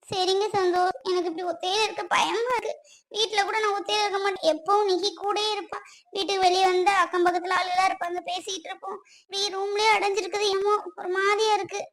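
A woman's voice: very high-pitched, level tone, fast, fairly bright tone. A young adult woman playing a frightened young woman hiding in a rented room, with a timid, pleading way of talking. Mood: fearful, pleading. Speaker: young woman who ran away from home with her partner.

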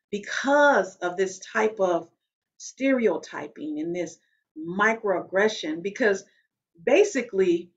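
A woman in her forties with a deep, loud voice, talking straight to the camera in an emphatic, conversational way and often checking in with "okay?". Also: creaky, muffled recording. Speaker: vlogger. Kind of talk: direct-to-camera talk. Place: small room at home.

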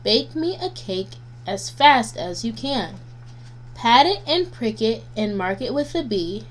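A woman reading nursery rhymes aloud for children as a guest reader, in a deliberate, sing-song way. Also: on the high side, sing-song. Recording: noisy home recording with hum.

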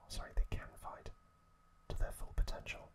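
A man whispering breathily in a halting, gentle ASMR storytelling recap, close to the microphone. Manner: slow, halting phrases with pauses. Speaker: ASMR host.

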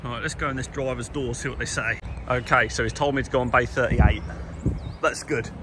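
A man in his thirties with an English accent, chatting casually and excitably as a trucker vlogging from his cab. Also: mid-range voice, fairly quick. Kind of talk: casual vlog chat to camera. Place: lorry cab and loading yard. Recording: clear vehicle and outdoor noise.

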